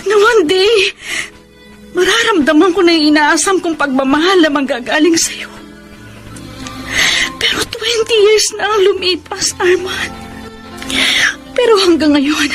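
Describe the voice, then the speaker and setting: An actress with a Filipino accent playing a neglected, long-suffering middle-aged wife in a radio drama, emotional and reproachful, with music underneath. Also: female voice, very high-pitched, moderate pace, a bit bright. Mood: anguished. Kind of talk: Philippine radio drama acting.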